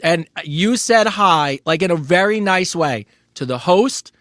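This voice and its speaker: A man's voice: high-pitched, animated, unhurried, fairly bright tone, dry recording, studio quality. A man co-hosting a podcast in casual chat, enthusiastically telling stories and praising his partner. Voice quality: enthusiastic storyteller, hypes his co-host. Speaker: podcast co-host.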